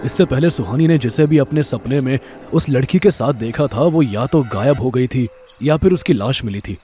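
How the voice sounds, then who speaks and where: A man acts out a guarded young man of authority in an audio drama. He is stern and matter-of-fact, yet quietly caring. His voice sits at an ordinary male pitch over soft background music. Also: normal speed, close to an everyday voice. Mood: pondering. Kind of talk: Hindi audio horror drama acting.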